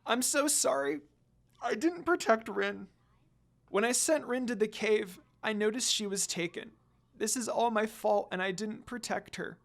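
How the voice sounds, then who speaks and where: A performer voicing a brave, distraught young woman in an anime fan story, with a loud, urgent, pleading manner. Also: medium pitch, a little slow, gravelly, pitched above the performer's own voice. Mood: tearful, guilty.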